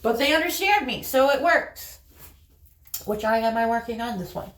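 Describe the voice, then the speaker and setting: A woman's voice: a little high, animated, normal speed, fairly bright tone, echoey room. A woman with an Appalachian accent chatting casually as she does her makeup, rambling through a story with fillers and laughs. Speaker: vlogger. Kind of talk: casual chat while doing makeup.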